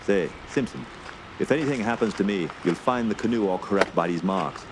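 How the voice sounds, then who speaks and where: An actor playing a hearty, proud French-Canadian backwoods guide in a radio play, with folksy, colloquial speech. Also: male voice, lowish voice, lively intonation, brisk, close to an everyday voice. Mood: reassuring, steady. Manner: colloquial, folksy, ends remarks with "eh". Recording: clear background noise under voice.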